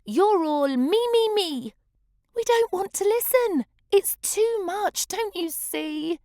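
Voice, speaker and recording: A woman's voice: very high-pitched, expressive, slow pace, warm tone, no echo, clean studio sound, pitched above the performer's own voice, exaggerated voice. A woman voicing exasperated geckos in a storybook, scolding and imploring. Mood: fed up.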